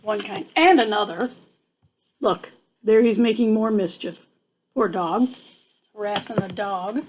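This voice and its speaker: A woman's voice: mid-range voice, a little slow, long pauses, darkish tone, slight background noise. An older woman reading a picture book aloud to children like a grandmother, adding chatty asides and checking in with her young listeners.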